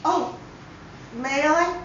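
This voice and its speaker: A woman playing a timid, polite elderly lady, hesitant and breathy with fluttery exclamations, in a dramatic story reading. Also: high-pitched, extremely slow, a bit bright, a little hiss or hum, voice pushed up, full character voice. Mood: shy, polite. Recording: very echoey room, distant mic, slightly dull.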